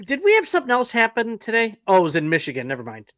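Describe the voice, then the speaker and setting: A man's voice: high voice, huge pitch swings, very fast, a bit bright, dry recording, studio quality. A man co-hosting a live podcast, cracking jokes and laughing at his own quips. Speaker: podcast co-host. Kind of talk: casual live-stream chat.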